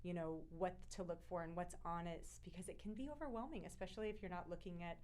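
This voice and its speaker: A woman's voice: fairly low pitch, quick pace, darkish tone, slight background noise. A woman hosting a real estate interview show, talking in an enthusiastic, effusive way.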